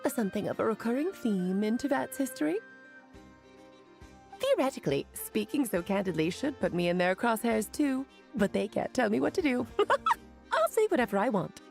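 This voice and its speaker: A woman's voice: fairly high pitch, very animated, moderate pace, gravelly, darkish tone, faint music behind. A woman voicing a smug, pedantic, irreverent know-it-all in a video game, lecturing in a theatrical, flippant way. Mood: smug, exasperated. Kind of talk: scripted video game exposition.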